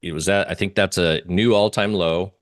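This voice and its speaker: A man giving informal live market commentary as a guest analyst, halting and searching for his words. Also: deep voice, fairly even intonation.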